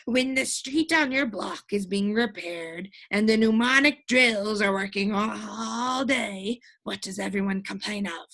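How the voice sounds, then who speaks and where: A woman's voice: mid-range voice, unhurried, creaky, few pauses, a bit bright. A woman voicing a proud, exasperated male doctor in a storybook, lecturing emphatically with questions. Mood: proud, explaining.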